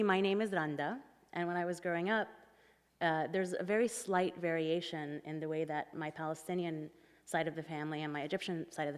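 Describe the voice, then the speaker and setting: A woman with a low voice talks candidly and chattily on a panel stage, laughing now and then. Her voice rings through a reverberant hall. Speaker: panel author. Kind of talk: panel discussion.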